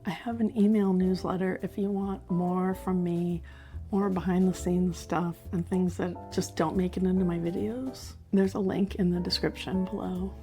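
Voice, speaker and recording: A woman in her fifties with a hoarse voice, talking candidly to camera in a personal health vlog. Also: on the low side, level tone, normal speed, over music. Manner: candid, chatty, self-reflective. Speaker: health vlogger.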